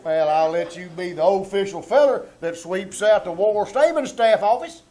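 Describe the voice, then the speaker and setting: A man's voice: high voice, animated, normal speed, mellow. A man playing a bossy, self-important country storekeeper with a Southern US accent in an old-time radio comedy on a live stage. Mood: coaxing, folksy. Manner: pompous, rustic phrasing. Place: small-town theater stage before live audience. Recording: live theater stage with background noise.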